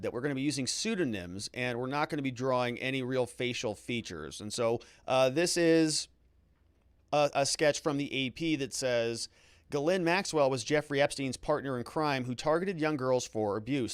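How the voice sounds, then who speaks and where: Guy doing live legal commentary, chatty, thinks out loud, repeats words to stress stuff, mid voice, really dry close sound. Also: mid-range voice, no echo. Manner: conversational, hedging, with emphatic repeated words. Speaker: host of a legal commentary livestream.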